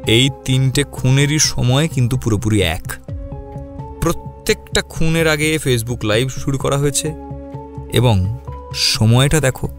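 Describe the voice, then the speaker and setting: A man plays a sharp detective in a crime audio drama. He speaks in a mid-pitched voice with deliberate, instructive authority, setting out points and questions. Music plays clearly behind him. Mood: intent, probing. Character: sharp, commanding male detective leading a murder case. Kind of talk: Bengali audio detective story acting.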